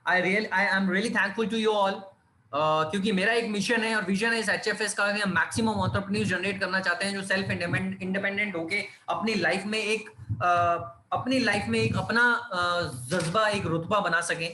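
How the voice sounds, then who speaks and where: A man delivers a persuasive sales pitch, his voice pitched high and bright. He repeats key phrases and fills pauses with "uh". The room sounds echoey, with a faint hum of ambience behind him. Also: steady tone. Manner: persuasive, repetitive, many fillers, reads out names. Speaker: presenter of an online business opportunity session. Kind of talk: live online sales presentation in Hindi-English mix.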